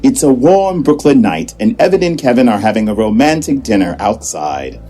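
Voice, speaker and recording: A man's voice: medium pitch, very animated, moderate pace, dark tone, faint music behind. A man narrating a scripted comedy audio drama, setting each scene.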